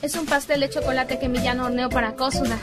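Mujer haciendo de colegiala sensata, voz aguda. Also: female voice, high-pitched, fairly even intonation, fast talker, lightly acted. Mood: thoughtful, firm. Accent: Latin American Spanish. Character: sensible, observant teenage schoolgirl, exasperated friend. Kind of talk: anime fan dub acting. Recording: loud music behind the voice.

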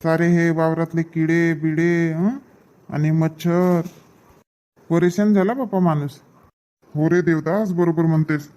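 A man's voice: fairly high pitch, level tone, measured pace, warm tone, clean tone, natural voice. A man voicing easygoing village farm men in a cartoon comedy. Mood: grumbling.

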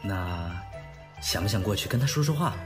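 A man's voice: on the low side, expressive, normal speed, soft background music, natural voice. A young man acting in an audio drama as a blunt, sardonic best friend who probes and teases. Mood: sardonic, teasing.

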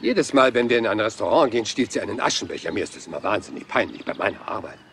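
Shabby, polite, dogged homicide inspector; low, lively delivery, noisy retro radio play sound. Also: male voice, fast, creaky. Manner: apologetic, roundabout, mild and persistent. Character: rumpled, polite, persistent male police inspector. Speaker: police inspector. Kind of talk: German radio crime play.

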